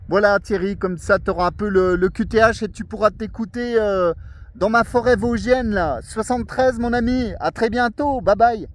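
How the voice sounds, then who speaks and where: A man's voice: sits high, moderate pace. A man chatting casually as an amateur radio operator, in a friendly, rambling, repetitive way. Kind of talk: casual radio contact chat. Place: outdoors in a forest area, mobile radio.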